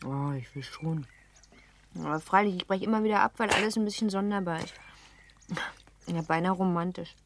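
A woman acting a lively, playful, well-born girl of seventeen in a German radio play, chatty and teasing. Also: on the low side, moderate pace, long pauses, slight background noise, natural voice. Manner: chatty, teasing, self-assured.

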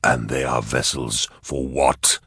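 Relentless male interrogator, put on by a male narrator: extremely low, slow and husky, terse audiobook menace under strain. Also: little pitch movement, sharp tone, no echo, studio quality, heavily acted. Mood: grim, insistent. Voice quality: husky, grunting. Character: grim, commanding male interrogator, straining with concentration. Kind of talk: dramatised audiobook dialogue.

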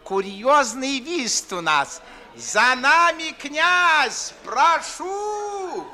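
A fawning elderly Moscow gentleman in a classic radio comedy. His voice is very high and piercingly bright, and he bustles along in ingratiating, slightly drawn-out phrases. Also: sing-song, exaggerated voice. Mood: fawning, eager. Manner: bustling, ingratiating, repeats himself. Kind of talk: 1950s Russian classical stage acting.

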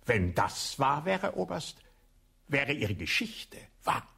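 An actor playing a shrewd police inspector in a radio play, with a husky voice, probing and ironic. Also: male voice, medium pitch, very animated, slow pace, sharp tone, heavily acted.

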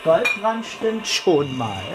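A man's voice: sits high, very animated, slow pace, heavily acted, poor audio. A man playing a cynical police detective in a radio crime drama, with a dry, clipped, sarcastic delivery. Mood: sardonic. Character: male police detective, cynical, sardonic wisecracker. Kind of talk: naturalistic German radio drama acting.

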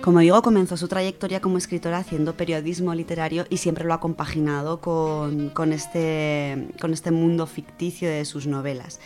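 A woman's voice: low voice, level tone, normal speed, hardly any pauses, darkish tone, soft background music. A woman with an accent from Spain presenting a literary radio segment in a conversational, enthusiastic, semi-scripted way.

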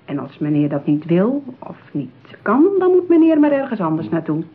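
A woman's voice: fairly low pitch, sing-song, moderate pace. An actress playing a formal, polite saleswoman at a marriage bureau, coaxing a customer in a radio play. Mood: persuasive, haughty. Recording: muffled, narrow-band radio play recording.

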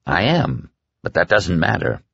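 A man voicing a calm, stern man in an audiobook, arguing quietly and coldly to hold friends to an agreement. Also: deep voice, vocal fry, breathy edge, no room sound, dull audio, heavily acted. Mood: quiet, resolved. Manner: quiet, cold, measured argument.

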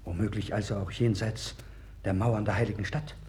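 A man's voice: on the low side, fairly even intonation, moderate pace, darkish tone, lightly acted. An actor playing a troubled, wavering king of ancient Judah in a formal 1960s radio play style. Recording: worn vinyl record with clear noise.

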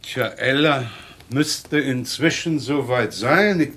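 An actor playing a deferential household servant in a German radio mystery, with a husky voice and hesitant, formal speech. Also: male voice, medium pitch, slow, fairly bright tone. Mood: obedient. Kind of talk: German radio crime drama acting.

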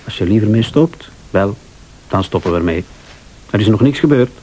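An actor playing a scheming, impatient, smooth-talking husband in a radio thriller, with a Flemish accent. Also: male voice, fairly low pitch, animated, moderate pace, mellow, poor audio. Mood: calm, coaxing. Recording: radio drama with clear tape hiss.

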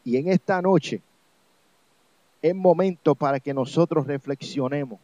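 High-voiced Puerto Rican preacher man. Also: a little slow, a little mellow, no echo. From Puerto Rico. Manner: exhortative, repetitive phrasing. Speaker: evangelist preacher on a religious program. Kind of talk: sermon. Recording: dull, lo-fi, dry sound.

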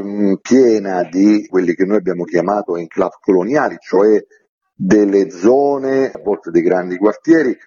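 A man being interviewed as an author, explaining his research in a measured, formal way and building long lists. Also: low voice, warm tone, husky. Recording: slightly dull, lo-fi radio recording.

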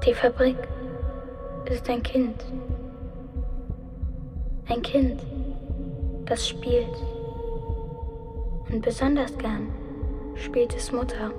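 Eerie calm female oracle, cryptic, slow and highish, music behind, radio play. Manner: deliberate, cryptic. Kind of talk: poetic radio drama acting.

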